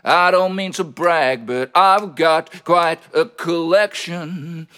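A man's voice: fairly high pitch, takes it slowly, sharp tone, clear, resonant tone. A man performing comic spoken-word poetry in a playful, whimsical way, with rhythmic, rhyming delivery. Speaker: poet and comedian. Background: plucked guitar music.

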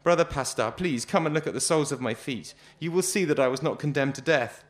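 A man reading a story aloud, voicing a desperate blindfolded male prisoner who shouts frantic pleas. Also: on the high side, a little fast, pitched above the performer's own voice, natural voice. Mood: pleading.

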